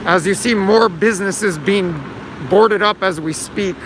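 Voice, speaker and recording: A hoarse man narrating a live stream as he walks city streets, chatting calmly. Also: high voice, measured pace. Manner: calm, chatty commentary while walking. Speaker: streaming journalist. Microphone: handheld phone camera. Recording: loud street noise outdoors. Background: traffic and street noise.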